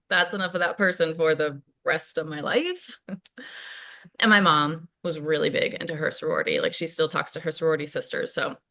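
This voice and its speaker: A woman playing a chatty, self-deprecating, awkward college student in a casual, naturalistic play reading, halting and rambling as she goes. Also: fairly low pitch, bright, forceful tone. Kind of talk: table read of a play, naturalistic casual acting.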